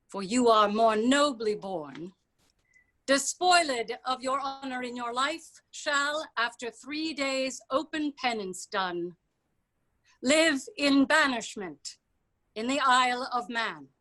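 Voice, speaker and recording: A high, ringing female voice from an actress plays an imperious royal judge in a stage drama. The verse comes slowly and formally, with stern, measured condemnation. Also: animated, a bit bright, exaggerated voice, low-quality recording. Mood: stern, condemning. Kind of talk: declaimed Shakespearean verse in staged reading.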